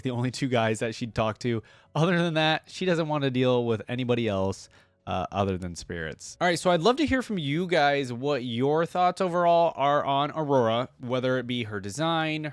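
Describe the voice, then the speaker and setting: A man gives casual fan commentary as host of a reaction video. His voice sits at a medium pitch, and he talks informally, repeating words for emphasis. The recording is dry, with little room sound. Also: clear, resonant tone, no echo.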